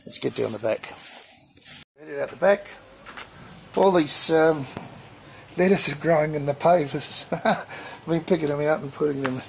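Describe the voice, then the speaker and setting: Man, gravelly voice, slow unhurried talk, faint outdoor noise, handheld phone mic. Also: on the high side, rough recording. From Australia. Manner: slow, halting, with frequent ums and uhs. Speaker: gardening channel host. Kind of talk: casual garden tour walkthrough. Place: backyard garden outdoors.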